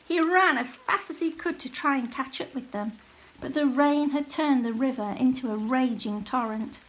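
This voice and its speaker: A woman reading a children's picture book aloud as a teacher, in a measured, expressive storytelling manner. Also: high voice, low-quality recording. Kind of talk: storybook read-aloud, scripted narration.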